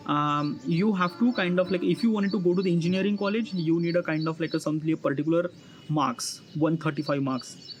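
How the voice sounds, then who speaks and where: A man in his early thirties with an Indian accent, giving an unscripted personal monologue to viewers in a halting, repetitive, filler-heavy way. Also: fairly high pitch. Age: early 30s. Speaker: vlogger. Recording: noisy ambience with birdsong. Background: chirping birds, ambient noise.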